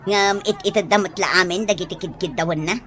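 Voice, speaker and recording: A woman's voice: fairly low pitch, steady tone, a little fast, a bit bright, close to an everyday voice. A woman playing a stern, anxious, questioning figure in an audio drama, with a Filipino accent. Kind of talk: Ilocano audio drama acting.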